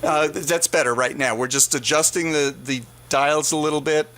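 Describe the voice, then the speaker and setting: A man hosting a conversational radio interview, asking questions in a somewhat halting way. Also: fairly high pitch, steady tone, fairly bright tone, slight background noise. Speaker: radio host. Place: radio studio.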